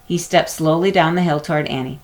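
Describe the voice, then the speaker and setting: A woman narrating a children's chapter book aloud in an expressive storytelling manner. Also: deep voice, fairly quick. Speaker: storybook reader.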